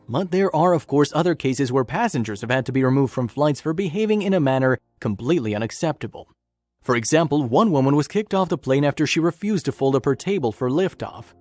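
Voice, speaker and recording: A male narrator reads a scripted story in documentary style. His voice sits at an average pitch for a man. Music plays behind him. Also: a little mellow, no echo. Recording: music bed under narration. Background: background music.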